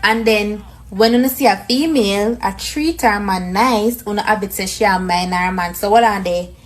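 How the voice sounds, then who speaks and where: A woman's voice: medium pitch, moderate pace. A woman with a Jamaican accent talking casually and emphatically, mixing English with patois. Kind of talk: clip of casual opinion talk.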